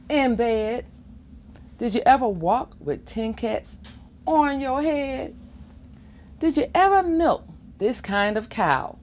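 Slow, very animated woman reading a storybook. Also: a little high. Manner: expressive sing-song delivery with playful asides. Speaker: volunteer children's storybook reader. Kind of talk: reading a children's picture book aloud. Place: school or public library children's corner, indoors. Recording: faint room ambience noise.

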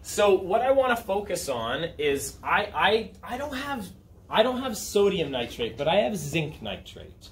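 A man's voice: high-pitched, very animated, unhurried. Roomy, distant male classroom teacher. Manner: informal, conversational, checks in with students. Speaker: high school chemistry teacher. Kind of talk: classroom lesson, asking students questions. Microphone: camera set back in the room. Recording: roomy, distant camera mic, room noise.

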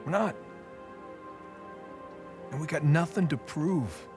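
An American man voicing a weary, sensible adventurer in a video game cutscene, with a dry, casual, halting delivery. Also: fairly high pitch. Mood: gently firm. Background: music.

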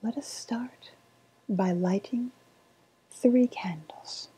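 A woman playing a sly woodland witch in a softly spoken ASMR roleplay, half-whispering in a deliberate, sweetly ominous manner. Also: medium pitch, husky, exaggerated voice, low-quality recording. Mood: hushed, ritual. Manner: slow, deliberate, hushed, ominous.

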